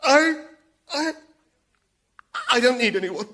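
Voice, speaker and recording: An actor playing a needy, nervous demon underling in a radio sitcom, stammering, flustered and fawning. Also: male voice, very high-pitched, expressive, slow pace, background noise, heavily acted. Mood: flustered denial.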